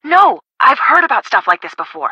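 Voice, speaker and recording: A woman voicing a friendly young woman in a video game, casual, expressive and helpful. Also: high-pitched, a little fast, husky, no echo, heavily acted. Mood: chatty, casual.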